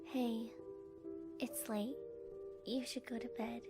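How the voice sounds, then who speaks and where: A caring cartoon pony guardian played by a woman speaks gently and slowly with a creaky edge. She sounds a little distant from the mic. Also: a little high, steady tone, over music. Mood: concerned.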